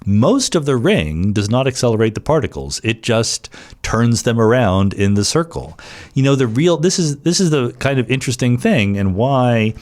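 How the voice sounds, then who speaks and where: A man's voice: mid-range voice, very animated, normal speed, darkish tone. A man hosting a question-and-answer podcast, explaining informally in a conversational way with rhetorical questions and asides.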